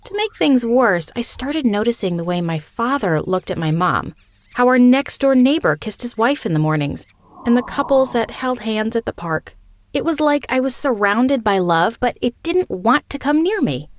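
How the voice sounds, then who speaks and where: A young woman giving a scripted first-person narration of an animated story, in an expressive, confiding storytelling manner. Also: fairly high pitch, normal speed, dry recording, studio quality.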